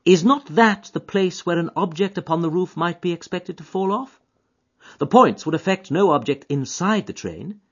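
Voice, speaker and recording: A man reading an audiobook voices an eager, high-strung detective who reasons aloud quickly and emphatically. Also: expressive, slightly rough, full character voice. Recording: dull lo-fi audiobook recording.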